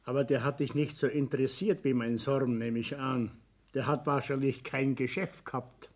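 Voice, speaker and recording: An actor playing a gruff, distrustful older businessman with a Bavarian accent in a naturalistic radio drama. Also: medium pitch, fairly even intonation, moderate pace, lightly acted. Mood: mocking.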